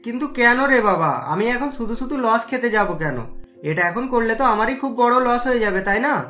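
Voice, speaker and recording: A woman's voice: a little high, lively intonation, normal speed, a bit bright, faint music behind. A woman voicing a young man who runs a struggling roadside eatery in a cartoon, in a fretful, complaining manner. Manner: fretful, complaining, sometimes scared. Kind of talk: cartoon voice acting.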